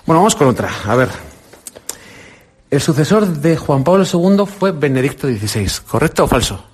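Husky middle-aged Spanish presenter, upbeat quizmaster with a children's group. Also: male voice, fairly high pitch, sing-song. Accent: Spain Spanish. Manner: encouraging, quizzing with quick questions. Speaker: radio presenter. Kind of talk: quiz with children on air. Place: indoor visit with a children's group.

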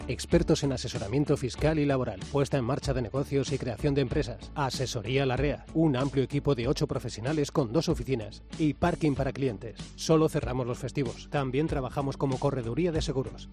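A man voicing a scripted radio commercial with a calm, even reading. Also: medium pitch. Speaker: ad voice-over.

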